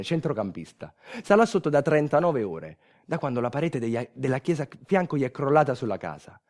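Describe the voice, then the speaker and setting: A man's voice: mid-range voice, a little mellow. A man with a southern Italian accent performing a theatrical narrative monologue, colloquial, emphatic and urgent. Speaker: solo stage actor narrating a monologue. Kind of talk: dramatic theatrical storytelling, radio monologue.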